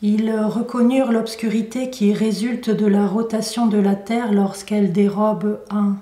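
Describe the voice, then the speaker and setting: A woman poet reading her own poetry aloud in measured, deliberate phrases with pauses. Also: medium pitch, fairly even intonation, warm tone. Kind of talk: poetry recitation. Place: indoor room at a poetry venue. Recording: roomy echo, recorded from a distance.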